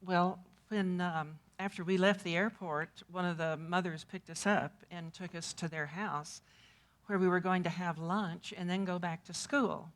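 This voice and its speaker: A woman's voice: fairly low pitch, fairly even intonation, a little slow. Older woman recounting plainly. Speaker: interview guest. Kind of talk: recounting memories.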